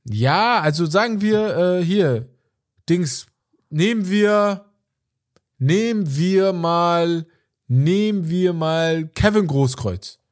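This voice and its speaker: A man co-hosting a casual podcast, talking in a rambling, animated way and searching for words as he goes. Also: sits high, slow pace. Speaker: podcast co-host. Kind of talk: casual chat.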